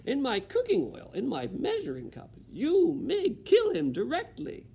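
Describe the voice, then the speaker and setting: A man's voice: extremely high pitch, huge pitch swings, unhurried, gravelly, mellow, slight background noise, voice pushed up, heavily acted. A man voicing a bossy, bad-tempered kitchen cook who barks orders and sneers sarcastically. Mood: barking orders. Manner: barking, sarcastic.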